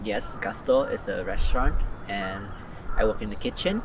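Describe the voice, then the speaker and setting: A young man with a lowish voice tells anecdotes in an easy, slangy style. The mic sits well away from him, and clear background noise runs under his speech. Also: expressive, moderate pace, distant mic. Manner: casual, storytelling with slang. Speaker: student interviewee. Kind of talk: casual interview answers.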